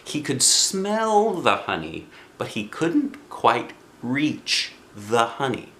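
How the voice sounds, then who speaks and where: A man's voice: mid-range voice, huge pitch swings, slow, sharp tone. A man reading a children's book aloud as narrator. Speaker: storybook reader.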